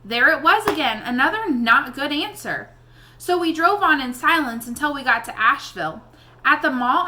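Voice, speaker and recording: A woman reading a children's novel aloud in an expressive storybook style. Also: sits high. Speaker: read-aloud reader addressing young listeners.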